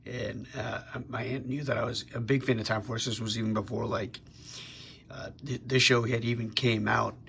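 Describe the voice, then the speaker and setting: A young man with a sick, raspy voice casually reviewing a toy figure on his channel, talking in a laid-back way with lots of fillers and restarts. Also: mid-range voice, level tone, moderate pace, a bit bright, slight background noise.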